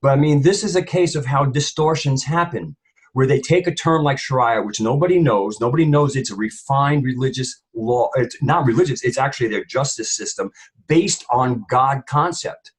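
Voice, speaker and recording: A man's voice: medium pitch, moderate pace, slightly rough. A man speaking as a panelist in an opinionated discussion, rambling and emphatic, often repeating phrases for weight.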